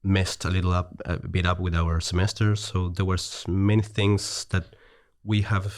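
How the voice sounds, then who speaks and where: Deep-voiced Chilean man with Spanish accent. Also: little pitch movement, measured pace, no room sound, clean studio sound. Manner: hesitant, restarts, laughs easily. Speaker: guest. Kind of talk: casual conversation.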